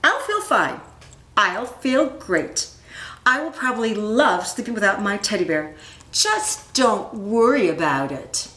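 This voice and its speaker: Woman reading as an animated, anxious young boy. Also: medium pitch, measured pace. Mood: defiant. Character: young boy narrator, anxious but defensive. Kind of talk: storybook character reading. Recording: roomy, echoing room sound.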